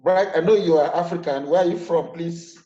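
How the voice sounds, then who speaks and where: A man's voice: a little high, brisk, mellow. Man on a roomy, dull call line. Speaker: course participant. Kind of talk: thank-you remarks on a video call.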